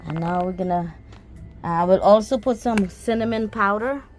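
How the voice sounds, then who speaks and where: A woman's voice: mid-range voice, moderate pace, a little mellow, soft background music. A woman from Guyana with a Guyanese accent, casually showing a cooking recipe outdoors and chatting with her viewers. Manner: chatty, talks to viewers and pets. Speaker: cooking vlogger. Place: backyard outdoors.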